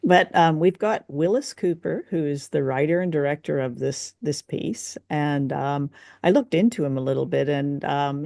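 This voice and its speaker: A woman's voice: low-pitched, mellow, no room sound, clean studio sound. A woman co-hosting a casual discussion in a hesitant, conversational way. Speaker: podcast co-host.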